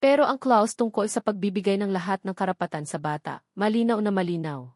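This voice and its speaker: A woman's voice: mid-range voice, a little fast, a little mellow, no echo, clean studio sound. A woman reading a scripted, dramatic love story as its storyteller. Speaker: storyteller narrator.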